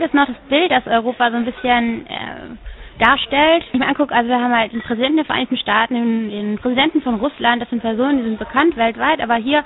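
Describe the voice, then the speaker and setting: A German woman talks quickly and eagerly in an interview, stacking one example on the next. Her voice sits a little high. The sound is thin and narrow, like a phone line. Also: vocal fry. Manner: fast, animated, piles up examples. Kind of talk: lively interview answers. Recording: tinny, phone-like radio sound.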